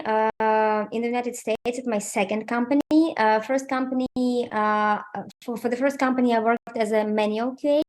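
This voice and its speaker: Woman from ukraine on a video call, bit high voice, lots of uh and um, english. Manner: hesitant, many fillers, plain and candid. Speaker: interview guest, QA automation engineer. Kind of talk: casual interview over video call.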